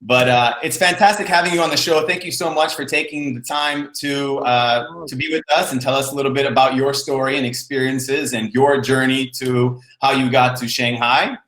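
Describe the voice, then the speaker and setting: A man's voice: mid-range voice, fairly even intonation, moderate pace, few pauses, a bit bright. A man hosting an interview show, addressing viewers and his guest. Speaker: show host. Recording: roomy, echoing sound.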